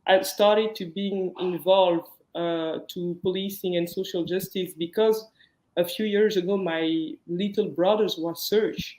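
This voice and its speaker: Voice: mid-range voice, steady tone, a little mellow. Earnest speaker, roomy echo. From Belgium. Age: young adult. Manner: earnest, personal, slightly halting. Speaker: guest, social justice activist. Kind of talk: interview conversation.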